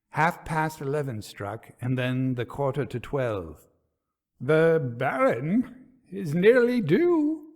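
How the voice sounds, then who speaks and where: A man narrating a gothic ghost story in a theatrical, suspenseful, measured manner. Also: mid-range voice.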